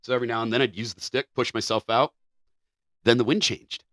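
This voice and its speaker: A man's voice: mid-range voice, fairly even intonation, fairly quick, fairly bright tone, no echo, clean studio sound. A man co-hosting a podcast, telling a story casually with restarts and casual swearing. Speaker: podcast co-host.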